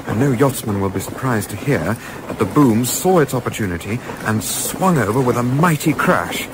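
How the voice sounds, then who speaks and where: A genteel British gentleman played by a male actor in a radio play, eager and a little green at sailing. His phrasing is old-fashioned and literary, and his pitch moves expressively. There is clear ambient noise behind him. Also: expressive, normal speed, lightly acted. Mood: wry, measured. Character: polite, eager, inexperienced gentleman yachtsman narrating his memoir. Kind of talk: radio play narration and dialogue.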